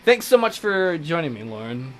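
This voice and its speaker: A man hosts a game playthrough in a fairly high voice that swings widely in pitch. His commentary is casual and irreverent. Soft game music sits behind him. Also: very animated, moderate pace. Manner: jokey, irreverent. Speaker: let's play host. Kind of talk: casual joking commentary on a visual novel.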